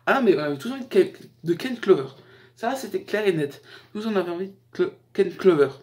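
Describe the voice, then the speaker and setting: A man's voice: sits high, animated, brisk, darkish tone. A young man hosting a casual YouTube countdown, talking in a slangy, joking, rambling way. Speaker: YouTube host. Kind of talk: casual chat to camera, countdown list.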